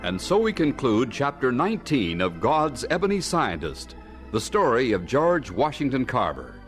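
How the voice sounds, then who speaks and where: A man's voice: mid-range voice, huge pitch swings, moderate pace, over music. A man narrating and announcing a scripted radio drama in a formal storytelling style.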